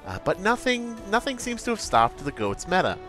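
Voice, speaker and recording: A man's voice: high-pitched, huge pitch swings, normal speed, music in the background, pitched above the performer's own voice. A man playing an earnest, creepily awkward game developer who hesitates sheepishly through a parody update presentation.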